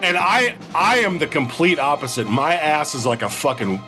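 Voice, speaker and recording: An adult man riffs in a crude movie review podcast, cursing constantly and poking fun at himself. His pitch jumps around a lot as he talks. Music is clearly audible behind him. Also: normal speed. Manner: profane, animated, self-mocking. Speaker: podcast co-host. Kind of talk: casual movie review chat.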